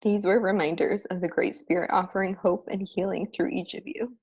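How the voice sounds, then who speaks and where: A woman's voice: lowish voice, fairly even intonation, moderate pace, a little mellow, slightly rough, highs cut off. A woman giving a heartfelt, reflective speech at a spiritual ordination ceremony. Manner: earnest, reflective, spiritual address. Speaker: ordained minister giving a speech.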